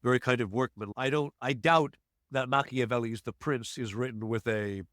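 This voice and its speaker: An American man hosting a podcast interview over a remote call, chatty and quick with jokey asides. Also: medium pitch, a bit bright, no room sound, clean studio sound. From United States. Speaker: podcast host. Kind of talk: casual interview chat.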